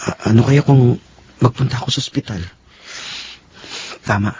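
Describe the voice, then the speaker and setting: An actor playing an anxious son thinking aloud in a Filipino radio drama monologue. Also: male voice, mid-range voice, fairly even intonation, normal speed, dark tone, close to an everyday voice. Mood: anxious, pondering. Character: worried young uncle, an anxious son. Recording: faint noise and ambience behind.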